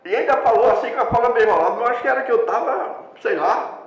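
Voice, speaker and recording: A man's voice: very high voice, fast talker, reverberant, lo-fi sound. An older man, a veteran reporter telling anecdotes in an interview and acting out the people he quotes. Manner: lively anecdotal storyteller, acts out quoted voices. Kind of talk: storytelling in a casual interview.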